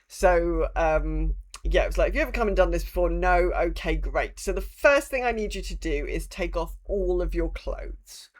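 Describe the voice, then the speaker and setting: A woman's voice: fairly low pitch, moderate pace. A woman co-hosting a podcast in casual gaming chat, speaking informally and hesitantly with some swearing. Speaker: podcast co-host.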